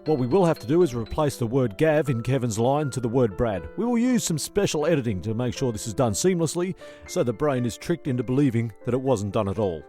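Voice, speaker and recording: An easygoing castaway and mock newsreader played by a man in a comedy audio drama. He speaks at an average pitch in a matter-of-fact, mock-formal way, over faint music. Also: sing-song, fairly quick, mellow, natural voice. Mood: mock-serious.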